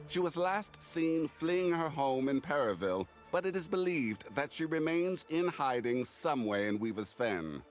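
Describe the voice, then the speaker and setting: A stern fantasy game herald delivers an official proclamation. He is grave, measured and declamatory, in a medium-pitched voice. Faint music sits underneath. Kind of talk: formal proclamation in a video game.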